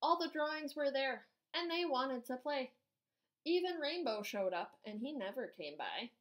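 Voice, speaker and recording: A woman in her thirties, an art teacher, reading a children's storybook aloud indoors with expressive, measured delivery. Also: sits high.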